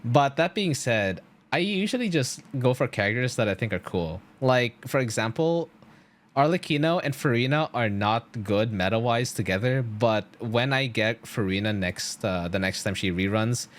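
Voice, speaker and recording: An enthusiastic man chats casually as a live game streamer, his pitch swinging up and down freely. His talk is slangy and rambling, with a middle-register voice. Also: lively intonation, moderate pace. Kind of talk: casual chat with stream viewers.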